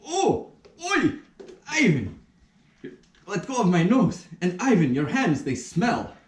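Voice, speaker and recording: Pompous, animated major played by a man. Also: high voice, slow pace, pitched above the performer's own voice, full character voice. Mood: complaining. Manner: pompous, boastful, theatrical. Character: vain, pompous 37-year-old male major, puppet. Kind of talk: puppet theatre acting. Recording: roomy, distant rehearsal recording.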